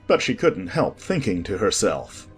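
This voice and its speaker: Very low-pitched woman narrating a storybook. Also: sing-song, a little slow, husky, soft background music.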